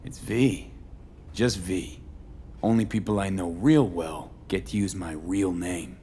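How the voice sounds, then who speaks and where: A man's voice: low voice, takes it slowly, dark tone. A man playing a blunt, guarded mercenary in a video game, talking in terse, clipped lines. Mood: guarded, impatient. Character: blunt, guarded male mercenary searching for a missing person. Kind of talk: scripted video game acting. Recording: faint ambient noise.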